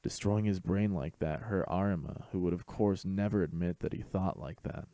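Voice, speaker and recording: A man's voice: sits low, moderate pace, dark tone, no room sound. A man reading a scripted fan fiction story as host and narrator.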